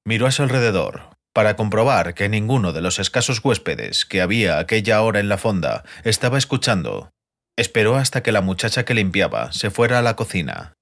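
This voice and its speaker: Male narrator for a fantasy audiobook, lowish pitch, scripted storytelling delivery. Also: sing-song, brisk, a bit bright.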